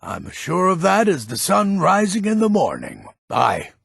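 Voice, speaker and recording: An actor playing a grumpy, proud old Nordic townsman in a fantasy video game, gruff and blunt, with a gravelly, husky voice. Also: high-pitched, huge pitch swings, normal speed, fairly bright tone, no echo, studio quality, full character voice. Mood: proud, reminiscing. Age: older.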